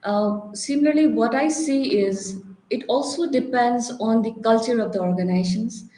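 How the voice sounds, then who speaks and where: A woman's voice: a little high, moderate pace, mellow. A woman from Nepal with a Nepali accent, speaking off the cuff as a panelist, often rephrasing and checking with a questioning "right". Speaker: panelist, HR professional and career counselor. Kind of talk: unscripted panel talk. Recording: echoey room sound.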